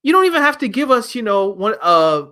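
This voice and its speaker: A young man hosting a casual album review, talking in an animated, emphatic way with rhetorical questions. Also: high-pitched, brisk. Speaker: music review host.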